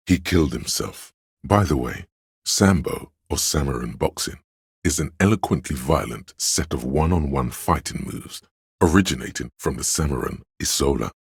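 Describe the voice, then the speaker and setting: A man playing a pedantic, erudite inner voice of encyclopedic knowledge in a video game, narrating facts in a dry, matter-of-fact deadpan. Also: rumbling low, slightly rough, no echo, exaggerated voice.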